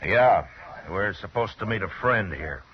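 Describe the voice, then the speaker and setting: An actor playing a stern, unflinching frontier marshal in an American radio western, terse and deliberate. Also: male voice, lowish voice, animated, a little hiss or hum. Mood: guarded. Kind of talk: old-time radio western acting and narration. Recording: dull, lo-fi old radio recording.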